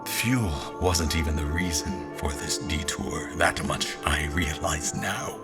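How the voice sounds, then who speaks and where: A man playing a guarded royal officer in disguise, recounting his memories in formal, deliberate audio drama narration. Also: rumbling low, full character voice. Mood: reflective, intrigued. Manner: formal, literary, deliberate phrasing. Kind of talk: scripted first-person audio drama narration. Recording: music behind the voice.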